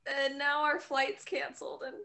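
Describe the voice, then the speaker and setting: A woman's voice: high-pitched, flat delivery, normal speed, vocal fry, sharp tone, exaggerated voice. A woman playing a comically sad, jilted young woman in an elf costume, whiny and childlike in a comedy scene reading. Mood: tearful, wailing. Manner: sobbing, whiny, outward like a little kid.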